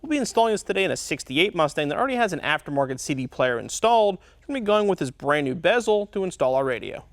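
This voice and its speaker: A man presenting an instructional product demonstration as a tutorial host, explaining things clearly step by step. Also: on the high side, big pitch swings, quick pace, hardly any pauses, no room sound. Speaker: host of car parts installation tutorial.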